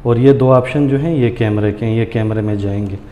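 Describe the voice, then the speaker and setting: A man's voice: lowish voice, brisk, narrow, tinny audio. A man giving a casual hands-on tutorial, explaining step by step and addressing viewers as friends. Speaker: tutorial host. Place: indoors in a tiled room, hands at a granite counter. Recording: echoey hard-walled room, noisy.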